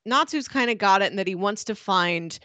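Chatty woman, lively and dry. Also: mid-range voice, brisk, dry recording. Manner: chatty, laughs between remarks. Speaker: podcast co-host. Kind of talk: casual book-club chat.

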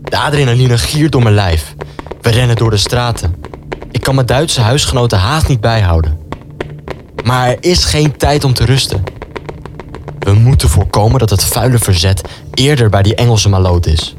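A man's voice: medium pitch, animated, moderate pace, background noise, close to an everyday voice. A man acting a curt, zealous young collaborator brother in a wartime radio play. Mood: urgent, zealous.

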